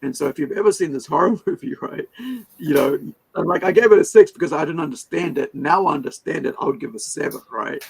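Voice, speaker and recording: A man's voice: a little high, fast talker, creaky, slight background noise, poor audio. A man whose first language is Hindi, hosting a casual interview, talking in a rambling way full of fillers and restarts. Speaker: podcast host.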